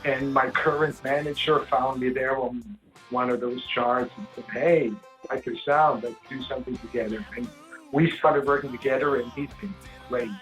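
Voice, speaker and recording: A man from Sweden with a big, gravelly voice, telling stories at length in a casual interview and often restarting his sentences. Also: mid-range voice, fairly even intonation, normal speed, a bit bright, soft background music, low-quality recording. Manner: rambling storyteller, frequent restarts. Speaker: singer-songwriter guest.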